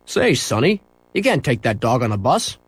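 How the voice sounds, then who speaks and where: A man's voice: medium pitch, sing-song, normal speed, breathy edge. A man voicing a firm, matter-of-fact bus driver in a children's story. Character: firm male bus driver enforcing company rules.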